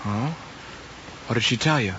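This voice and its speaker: A sullen, defensive young student in a mid-century screen drama. His delivery is curt. The soundtrack is muffled and clearly noisy. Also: mid-range voice, measured pace, bright, forceful tone. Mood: evasive. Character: defensive, sullen teenage male student accused of cheating.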